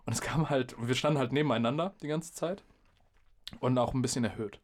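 Young guy podcast host, normal pitch, slangy dj storytelling, casual chat, does little quotes. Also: fairly quick. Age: young adult. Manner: slangy, acts out quoted remarks.

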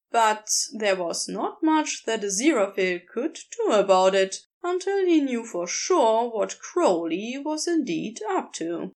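A woman narrating a written story in an expressive, unhurried storytelling manner. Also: fairly high pitch. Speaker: podfic narrator.

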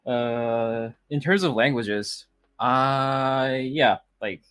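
A man's voice: mid-range voice, very slow, a bit bright, faint music behind. A thirty-year-old man from Poland whose first language is Polish, chatting casually as a podcast guest, rambling with fillers and restarts. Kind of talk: casual interview chat.

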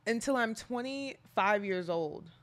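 A woman's voice: fairly high pitch, expressive, a little slow. A woman of twenty-one from Texas, a college basketball player chatting as a podcast guest, hesitant and laid-back, often trailing off. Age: 20s. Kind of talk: casual chat.

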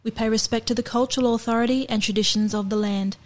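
A woman hosting a show, reading a scripted introduction in a measured, formal way. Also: a little high, fairly even intonation. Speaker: podcast host.

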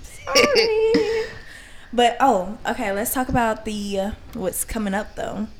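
A woman's voice: a little high, big pitch swings, takes it slowly, slight background noise. A young woman co-hosting a podcast in casual chat, rambling and self-conscious with a slight lisp, laughing often. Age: young adult. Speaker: podcast co-host.

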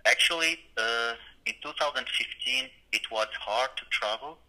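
Guy from syria, syrian accent, slow halting english, super bright voice on the phone. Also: mid-range voice, poor audio. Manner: halting, searching for words. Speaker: student guest. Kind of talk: casual interview. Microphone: phone call.

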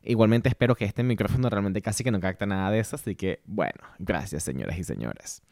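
A man's voice: fairly low pitch, expressive, fast talker, creaky, a little mellow, no room sound, studio quality. Joking Venezuelan man hosting a podcast. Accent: Venezuelan Spanish. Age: 30s. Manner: playful, self-mocking, laughs often. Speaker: podcast host. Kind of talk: casual solo podcast monologue. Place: home studio set.

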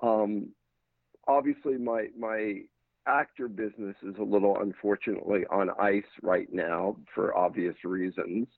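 A man's voice: on the low side, steady tone, measured pace, dry recording. A man with an American accent, a talent manager, giving thoughtful answers in a casual interview with frequent restarts. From England. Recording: dry, tinny phone-like line.

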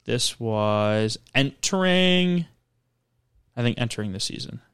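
A man's voice: mid-range voice, animated, slow pace, a bit bright, clean tone. Easygoing young man talking football. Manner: easygoing, defends his side, laughs often. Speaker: sports podcast co-host. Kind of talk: casual sports debate.

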